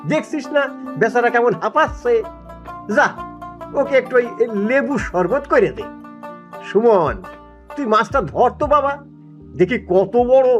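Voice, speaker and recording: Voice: mid-range voice, big pitch swings, a little slow, long pauses. A performer playing an easygoing, indulgent village father-in-law in a cartoon family story, with music behind.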